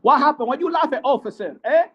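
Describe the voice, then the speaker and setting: A man voicing a flustered, indignant car-crash victim, in broken, repetitive, excitable comic speech. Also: extremely high pitch, fast talker, dull audio, voice pushed up. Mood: indignant, pleading.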